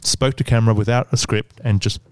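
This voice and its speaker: A man's voice: fairly low pitch, fairly even intonation, a little fast, smooth, no echo. A man with a stutter, chatting as a podcast guest in a hesitant, filler-heavy way. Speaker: guest, business owner. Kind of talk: casual podcast conversation.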